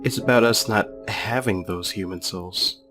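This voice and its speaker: Cynical young goat prince acted by a man, unhurried and world-weary, self-doubting, fan dub drama. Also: fairly low pitch, fairly bright tone, faint music behind. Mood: bitter, resigned. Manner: weary, sarcastic. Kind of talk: fan comic dub acting.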